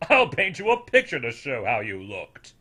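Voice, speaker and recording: A man's voice: mid-range voice, wildly swooping pitch, moderate pace, pushed and bright, slightly rough, exaggerated voice. A man voicing a scornful old storybook creature who sneers and belittles with heavy emphasis. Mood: mocking, scornful. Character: scornful old male snoodle, mocking and belittling.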